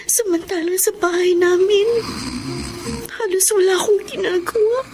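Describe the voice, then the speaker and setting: An actress playing a weary, battered young wife in a Filipino melodrama, tearful and pleading. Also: female voice, extremely high pitch, steady tone, normal speed, background noise. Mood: tearful despair. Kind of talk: Filipino radio-style melodrama acting. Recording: dramatic music under the voice.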